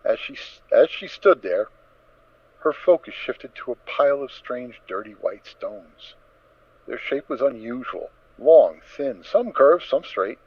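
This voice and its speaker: A man reads a dramatic story as narrator in a mid-range voice. His phrasing is measured and emphatic. The recording is close and dry, slightly dull and lo-fi. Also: mid-range voice, expressive, breathy edge, no echo.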